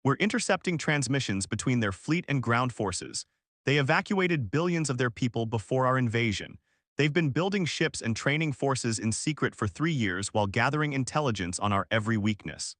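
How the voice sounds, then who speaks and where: A man's voice: mid-range voice, lively intonation, moderate pace, a bit bright, no room sound, clean studio sound. A man playing a stressed, scaly female alien fleet coordinator who gives anxious military reports in a story. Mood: grave.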